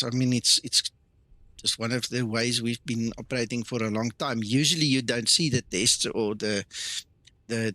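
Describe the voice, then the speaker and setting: A man's voice: mid-range voice, sharp tone, dry recording. A man whose first language is not English, hosting a live flight simulator stream in a casual, chatty way and greeting viewers by name. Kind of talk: live stream chat, reading and answering viewer comments.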